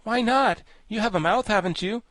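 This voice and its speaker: A man voicing a plucky, excitable young boy in a storybook, quick to exclaim and sulk. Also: high-pitched, no room sound, voice pushed up. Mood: plucky, eager. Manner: exclamatory, petulant. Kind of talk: storybook character voice.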